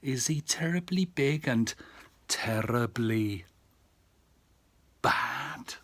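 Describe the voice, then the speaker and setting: A man voicing a small, curious Gruffalo child in a bedtime storybook reading, using a higher, breathy whisper. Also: lively intonation, very slow, a bit bright, full character voice. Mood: eager. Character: curious little Gruffalo child, wide-eyed.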